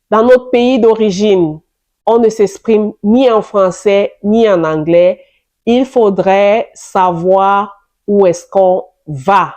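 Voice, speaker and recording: A French-speaking woman of middle age talks slowly and carefully to her viewers, laying out advice step by step. She keeps returning to her key points, with a voice of middling pitch and an unhurried pace that leaves room between her phrases. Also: dark tone. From immigrant to Canada, francophone. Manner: slow, deliberate, explanatory, repeats key points. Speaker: vlogger giving immigration advice. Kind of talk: advice vlog talking to camera.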